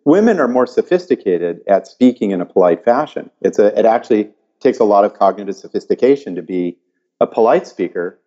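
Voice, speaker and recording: An older man from California, explaining research in an animated, thoughtful way and often restarting his sentences. His voice sits low and sounds mellow. The audio is dull and lo-fi. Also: brisk. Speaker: psychology professor and author. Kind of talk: interview answers.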